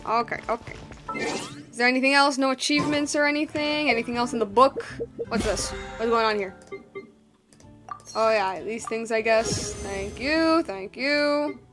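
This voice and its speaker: A woman's voice: on the high side, measured pace, music in the background. A woman giving casual, rambling gameplay commentary, slangy and informal, repeating herself for emphasis. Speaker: video game streamer.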